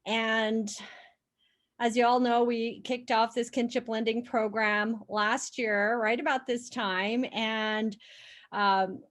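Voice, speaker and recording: A woman program director welcoming everyone and introducing a guest, speaking haltingly with many fillers. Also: a little high, flat delivery, a bit bright.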